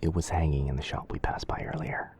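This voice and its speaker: A man playing a gentle young wizard boyfriend in an ASMR roleplay, speaking softly and often near a whisper with a tender, hesitant manner. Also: a bass voice, sing-song, fast, heavily acted. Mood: soft, reassuring. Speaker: roleplay audio performer.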